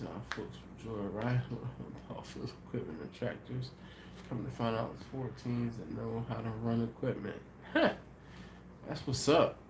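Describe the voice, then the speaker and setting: A man's voice: mid-range voice, noisy background. A man hosting a casual livestream, chatting with viewers in an easygoing, rambling way and sounding a bit congested and sniffly. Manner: easygoing, thinks aloud with many ums and restarts. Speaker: livestream host. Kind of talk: casual live chat reading viewer comments.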